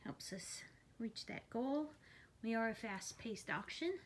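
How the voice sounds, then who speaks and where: A woman with a fairly high voice gives a casual, unscripted sales walk-through as an auction house presenter. She is recorded on a handheld phone in a big indoor warehouse, with noticeable background noise around her. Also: animated, unhurried. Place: large furniture warehouse, indoors. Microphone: handheld phone camera, speaker behind it.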